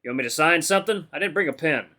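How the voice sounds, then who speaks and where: Casting: male actor for a massive battle-scarred dinosaur prizefighter, booming, condescending, slangy, bright forceful delivery in a game. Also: animated, very fast. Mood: amused, condescending. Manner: mocking, booming, casual slangy talk. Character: huge scarred dinosaur veteran fighter, amused and gruff.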